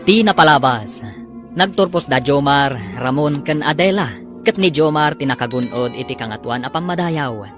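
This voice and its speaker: A man with a Filipino accent reading scripted radio drama narration as announcer, emphatic, with drawn-out titles. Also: a little high. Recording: radio broadcast with loud music bed. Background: music.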